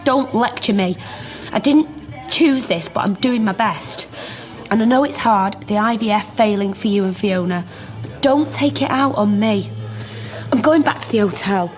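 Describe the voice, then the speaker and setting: An actress playing a defensive, independent sister, talking in dry, blunt, clipped lines in naturalistic radio drama dialogue. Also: female voice, medium pitch, animated, normal speed, a little mellow, faint music behind, natural voice. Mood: hurt, defensive. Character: defensive, independent pregnant woman, sharp with her brother.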